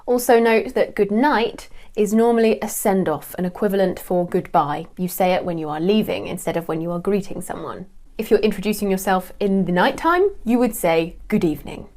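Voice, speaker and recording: Young English woman, British accent, clear deliberate teacher voice, friendly online lesson read. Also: medium pitch, a little mellow. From England. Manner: clear, deliberate teaching delivery with light laughs.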